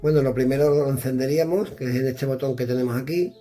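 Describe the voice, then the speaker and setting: A man's voice: medium pitch, steady tone, quick pace, warm tone, faint music behind. A middle-aged man from chatting casually indoors as he demonstrates radio equipment, thinking aloud while he fiddles with the devices. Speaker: radio gear reviewer.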